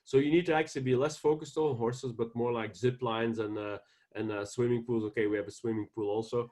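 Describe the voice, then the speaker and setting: Belgian-accented man, earnest and halting. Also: medium pitch, steady tone, a little mellow. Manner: earnest, with frequent fillers and restarts. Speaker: guest ranch owner. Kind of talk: casual interview.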